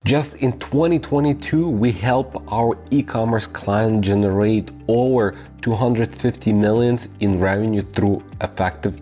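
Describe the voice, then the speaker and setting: A man reading a scripted ad, slow and deliberate, spelling out a web address letter by letter. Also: lowish voice, a little mellow. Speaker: ad announcer. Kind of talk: scripted ad read. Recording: music bed underneath.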